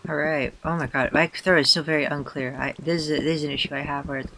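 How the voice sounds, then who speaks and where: A man with a hoarse, tired voice, self-consciously rambling through casual gameplay commentary with many fillers and restarts. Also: on the high side, steady tone, fast, pushed and bright, slight background noise. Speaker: let's player.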